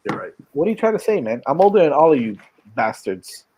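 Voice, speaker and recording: A man's voice: a little high, sing-song, mellow, husky, poor audio. A man in his late thirties co-hosting a casual late-night sports chat, joking and teasing the others and often cutting in. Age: 30s. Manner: joking, teasing, quick to interrupt. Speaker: podcast co-host.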